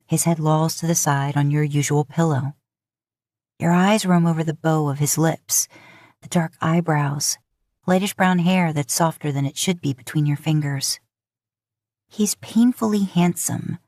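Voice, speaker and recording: Low-voiced woman narrating fiction. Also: a little mellow, no room sound, clean studio sound. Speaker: podfic narrator.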